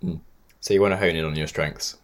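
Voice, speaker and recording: A man with an extremely deep, low voice talks as a podcast co-host. His delivery is casual and agreeable, with slightly hesitant, loose phrasing. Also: steady tone, fairly quick. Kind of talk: casual chat.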